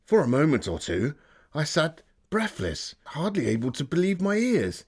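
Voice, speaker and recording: A man voicing an earnest Victorian gentleman, reading his diary as a solemn, dramatic literary storyteller. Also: on the high side, wildly swooping pitch, moderate pace, slightly rough, full character voice. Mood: shaken, relieved.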